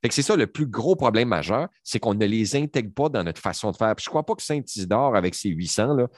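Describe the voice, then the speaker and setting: A man's voice: on the low side, animated, a little fast, no room sound, studio quality. Rambling man in his forties. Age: 40s. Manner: rambling, emphatic, many fillers. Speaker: panelist. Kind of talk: opinionated panel discussion.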